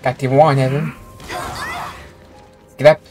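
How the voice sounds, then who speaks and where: A man's voice: mid-range voice, little pitch movement, takes it slowly, a little mellow. A man giving casual, offhand gameplay commentary in short folksy remarks as he streams. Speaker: video game streamer. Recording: game music faintly behind.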